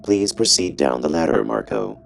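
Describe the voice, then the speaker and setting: A man voicing a calm, commanding hovering AI drone with flat, formal politeness. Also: deep voice, normal speed, gravelly, faint music behind, pitched below the performer's own voice.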